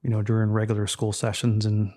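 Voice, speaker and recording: Low, even-voiced Texan-born man. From Texas. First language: Spanish. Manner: measured, reflective, with frequent fillers. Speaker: podcast guest. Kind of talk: casual interview chat.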